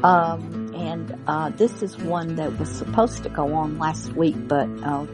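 A woman's voice: low voice, fairly even intonation, a little slow. A woman hosting a podcast, chatting casually as she introduces a segment. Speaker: podcast host. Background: guitar music.

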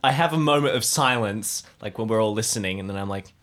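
A man improvising as a player in a tabletop audio drama, casual and animated. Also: fairly low pitch, quick pace. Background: music.

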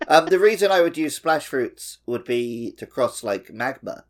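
A man's voice: medium pitch, expressive, a little slow. A man co-hosting a gaming podcast, chatting in an informal, conversational way. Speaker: podcast co-host. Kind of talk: casual video game discussion.

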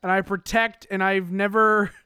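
A man plays a self-satisfied police officer with a high, crisp voice. He talks in pompous self-praise. Each word comes out clear and sharp. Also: level tone, normal speed, no echo, clean studio sound. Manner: shouting orders, then pompous self-praise. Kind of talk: improv comedy scene.

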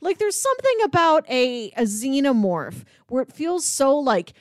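A woman's voice: sits high, unhurried, no room sound, studio quality. A woman co-hosting a movie podcast, chatting casually and acting out imagined lines as she goes. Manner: animated, acts out imagined dialogue. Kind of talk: casual film discussion.

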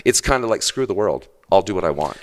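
A man with a low voice and a Canadian accent, speaking bluntly and defiantly as a podcast guest in candid conversation. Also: expressive, fast talker.

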